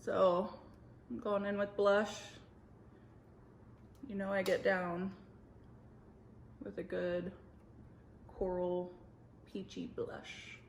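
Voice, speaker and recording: Young woman, slow easygoing talk, gravelly fry, echoey room, casual makeup chat. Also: mid-range voice. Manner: relaxed, joking, drawn-out speech. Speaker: makeup vlogger. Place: indoor room at a makeup table. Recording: echoey room with faint noise.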